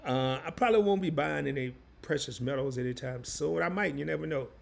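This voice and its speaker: A hoarse man hosting a live stream, chatting loosely and in slang straight to his viewers. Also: fairly high pitch, a little fast. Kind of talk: casual live stream chat.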